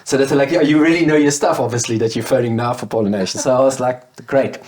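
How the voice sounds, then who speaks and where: A man in his thirties tells his story in a relaxed, rambling way. He slips into quoting past phone calls, full of "like" and "um". His voice sits at an ordinary middle pitch. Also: fast. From Belgium. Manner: chatty, acts out past conversations with many fillers. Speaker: guest, beekeeping business owner. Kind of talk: casual interview storytelling.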